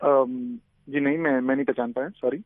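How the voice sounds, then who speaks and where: A man's voice: mid-range voice, steady tone, a little fast, no echo. A man talking on the phone, hesitant and stammering. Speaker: caller being pranked. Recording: tinny phone line.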